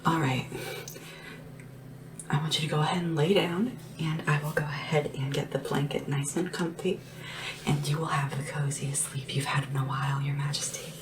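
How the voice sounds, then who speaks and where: A woman playing a gentle, devoted young sleep assistant in a fantasy ASMR roleplay, hushed and breathy, soothing and attentive. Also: sits low, fairly even intonation, far from the mic, noisy background. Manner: soothing, attentive, earnest, unhurried. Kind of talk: soft-spoken and whispered ASMR roleplay.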